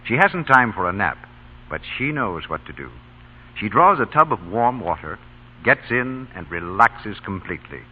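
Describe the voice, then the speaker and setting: Animated male announcer on vintage radio. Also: fairly low pitch, normal speed, breathy edge. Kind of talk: 1930s-40s scripted radio announcing and commercial. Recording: tinny old radio broadcast recording.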